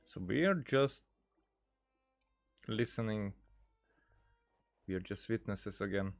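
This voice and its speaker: A man giving casual game playthrough commentary as a streamer, thinking aloud haltingly and repeating words. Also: fairly low pitch, creaky, soft background music. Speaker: gaming streamer.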